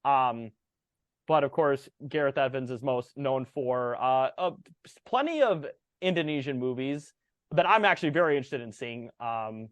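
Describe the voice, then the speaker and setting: A man in his thirties co-hosting a casual movie review podcast, relaxed and full of fillers as he reads out film facts. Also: medium pitch, normal speed, dry recording. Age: 30s. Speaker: podcast co-host.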